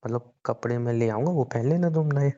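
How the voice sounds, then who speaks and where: A man's voice: medium pitch, fairly quick, dark tone. A young man joking and teasing his girlfriend in a casual phone call. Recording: dull lo-fi call recording.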